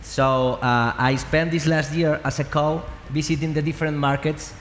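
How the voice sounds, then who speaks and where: A man's voice: fairly high pitch, steady tone, normal speed, a bit bright. A man speaking as a startup CEO on a conference panel, playful as he tells a self-mocking anecdote. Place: large conference hall. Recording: echoey hall with faint noise.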